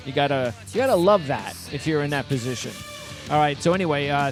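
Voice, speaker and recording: Rambling male radio host, higher-pitched voice. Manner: rambling, halting, repeats words. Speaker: sports radio show host. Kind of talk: casual interview and show patter.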